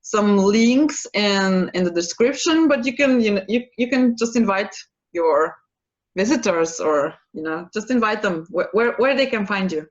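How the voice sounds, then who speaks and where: A woman speaking English with a Slovene accent hosts a relaxed chat about healing sessions. She hesitates, restarts her sentences and laughs lightly between thoughts. Her voice comes through a dull, lo-fi video call line. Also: mid-range voice, normal speed. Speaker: quantum healing practitioner, co-host. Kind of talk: casual chat to viewers.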